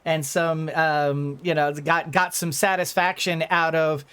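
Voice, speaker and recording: A man's voice: fairly high pitch, little pitch movement, a little slow, bright, forceful tone, clean tone. A man giving casual let's-play commentary, rambling along and thinking aloud as he goes. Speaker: gaming video host.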